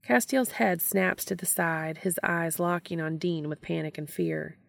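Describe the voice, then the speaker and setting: Woman, low voice, vocal fry, dry close sound, scripted story narration. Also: measured pace, no echo. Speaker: fan fiction reader.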